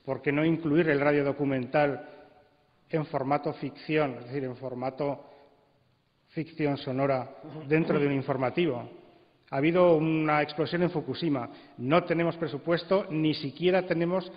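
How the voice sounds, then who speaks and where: A man's voice: fairly high pitch, fairly even intonation, moderate pace. A man giving a persuasive spoken argument as a panelist at a conference. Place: conference hall, amplified event. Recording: dull, reverberant hall recording.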